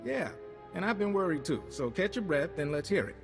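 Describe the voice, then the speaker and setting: An actor playing a friendly, wry intelligence agent in a video game. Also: male voice, sits high, very animated, fairly quick, creaky, big-room sound, over music, exaggerated voice. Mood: friendly, relieved.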